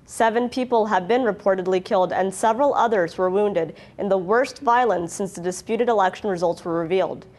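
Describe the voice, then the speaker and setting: A woman presenting the news, reading scripted reports in a formal, clearly worded delivery. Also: medium pitch, brisk, hardly any pauses, darkish tone.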